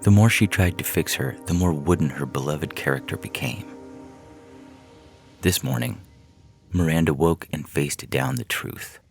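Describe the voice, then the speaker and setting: Male fiction narrator, extremely low gravelly voice, slow-paced delivery over music. Dramatic short-story read. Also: lively intonation. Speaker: story reader and podcast narrator. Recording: music bed behind the voice.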